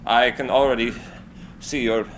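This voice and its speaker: An actor playing an eccentric male inventor who makes a formal, measured speech in a screenplay reading. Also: medium pitch, fairly even intonation, lightly acted, poor audio. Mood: hesitant, humbled. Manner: formal, halting, measured oratory. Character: Nikola Tesla, eccentric formal male inventor, earnest orator. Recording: faint background room noise.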